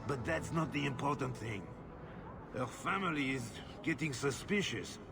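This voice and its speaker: A man's voice: mid-range voice, normal speed, creaky, background noise, close to an everyday voice. A man voicing a pompous, self-pitying nobleman in a video game, haughty and given to indignant complaint. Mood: exasperated, defensive. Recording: music behind the voice.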